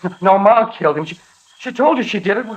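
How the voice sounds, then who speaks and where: An actor playing a frightened young outlaw, whining and pleading in a 1950s radio western. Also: male voice, high-pitched, expressive, fast, slightly rough. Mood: panicked, pleading. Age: young adult. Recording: dull vintage radio recording.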